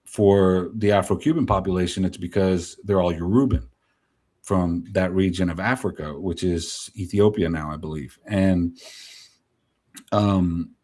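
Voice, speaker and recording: Low, mellow-voiced Gen X man. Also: unhurried. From New Jersey, US. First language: Spanish. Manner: rambling, filler-heavy, candid. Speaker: guest. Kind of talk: casual interview chat.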